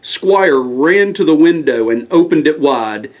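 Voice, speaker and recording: A man's voice: on the high side, lively intonation, unhurried, rough recording. A man reading a scripted bedtime story aloud as the storyteller.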